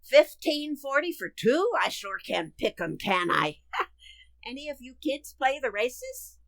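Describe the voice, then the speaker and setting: A woman's voice: sits high, big pitch swings, measured pace, vocal fry, no room sound, heavily acted. A woman playing a brash, slangy, overfamiliar housekeeper in a radio comedy play. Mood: cheerful, slangy. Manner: brassy, overfamiliar, slangy, blunt. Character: brash, gabby, slangy housekeeper who ignores her place. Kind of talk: radio comedy theater acting.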